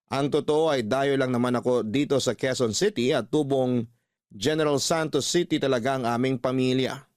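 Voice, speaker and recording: A man with a Filipino accent narrating a scripted radio-style story as an earnest thirty-two-year-old man, in a measured storytelling manner. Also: medium pitch, no echo, studio quality, close to an everyday voice. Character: Ralph, thirty-two-year-old hardworking coffee shop owner.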